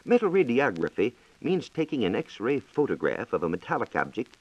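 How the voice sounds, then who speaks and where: A man's voice: mid-range voice, wildly swooping pitch, breathy edge, no room sound. An American man explaining science formally as a reporter on a scripted 1940s radio program, in a dated lo-fi recording. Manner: formal, explanatory, measured diction.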